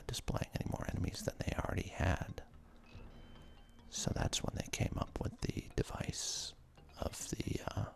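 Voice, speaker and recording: A man giving whispered ASMR commentary as a gaming video host, halting and hesitant, with casual asides as he plays. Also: deep voice, vocal fry.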